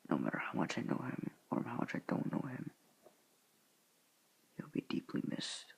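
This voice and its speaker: A teenage boy talking to his viewers in a soft, hushed, halting whisper, earnest and sorrowful in tone. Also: mid-range voice, big pitch swings, creaky, breathy edge. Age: teens. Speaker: student vlogger. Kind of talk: whispered somber address to viewers.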